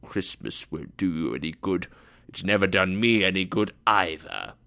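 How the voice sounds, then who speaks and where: A man's voice: low voice, sing-song, moderate pace, gravelly, sharp tone, exaggerated voice. Storybook role: grumpy, miserly older uncle, curt and contemptuous, performed by a male reader. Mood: angry. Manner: curt, scornful, snapping.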